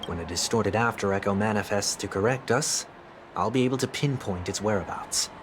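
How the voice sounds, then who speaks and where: An actor voicing a composed, formal young man of principle in a video game, speaking in a measured way. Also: on the low side, background noise, close to an everyday voice. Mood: resolute. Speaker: game character. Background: game background music.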